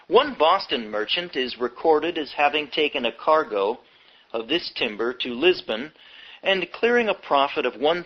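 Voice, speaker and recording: Man reading history, slow and measured, dull audio. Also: mid-range voice. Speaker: audiobook reader. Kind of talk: scripted narration of a history book.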